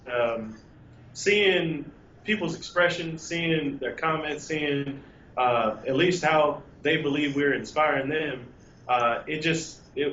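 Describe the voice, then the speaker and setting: A man's voice: mid-range voice, fairly bright tone, reverberant, dull audio, slight background noise. A man in his thirties, a recent law graduate, speaking earnestly and reflectively in an interview. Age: 30s. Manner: earnest, reflective, hesitant restarts. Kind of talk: casual interview.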